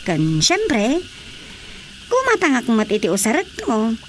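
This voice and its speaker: A woman with an Ilocano accent playing a proud, excitable, chatty wife in a radio drama comedy. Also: fairly high pitch, unhurried, a little hiss or hum. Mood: boastful.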